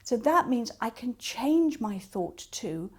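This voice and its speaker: A woman with a fairly high voice gives an instructional talk as a coach. She explains practical tips one step at a time, voicing short example remarks as she goes. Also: big pitch swings, slow, slightly rough. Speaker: creative coach giving advice.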